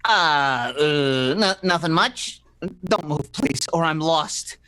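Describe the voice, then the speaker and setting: A man's voice: fairly high pitch, animated, slow pace, bright, forceful tone, clean tone, exaggerated voice, rough recording. A man playing a flustered romantic on the run in an old-fashioned radio play, stammering and pleading. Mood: flustered, insistent.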